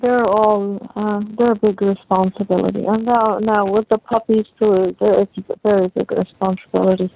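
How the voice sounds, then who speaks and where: A young adult woman chatting casually on a phone call, talking in a halting, rambling, repetitive way. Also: mid-range voice, little pitch movement, creaky. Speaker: caller talking with partner. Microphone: telephone call. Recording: tinny phone line with faint noise.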